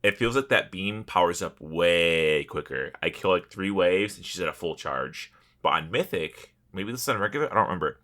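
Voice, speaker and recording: A man's voice: deep voice, moderate pace. A man co-hosting a casual gaming podcast, telling stories in a blunt, profanity-laced way. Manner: profane, blunt, animated storytelling. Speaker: podcast co-host. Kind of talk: casual chat about online gaming.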